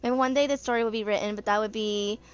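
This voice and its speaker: A woman in her twenties reading a story aloud as an amateur narrator, slightly halting in her delivery. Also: medium pitch, steady tone, smooth. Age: 20s. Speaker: reader of game character lore.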